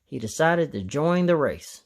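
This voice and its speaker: A man's voice: on the high side, big pitch swings, measured pace, creaky. A man reading a children's story aloud as narrator. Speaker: storybook reader.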